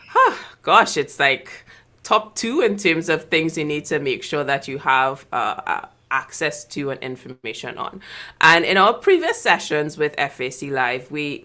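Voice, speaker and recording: A woman's voice: sits low, sharp tone. A woman hosting a live online interview show, speaking in an upbeat, chatty way and laughing easily, over a video call. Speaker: host.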